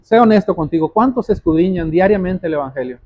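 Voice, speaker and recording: A man's voice: sits high, lively intonation, fast talker, no echo, muffled recording. A man preaching a sermon, explaining scripture didactically, repeating key phrases and putting direct questions to his listeners. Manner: addresses listeners directly as brothers.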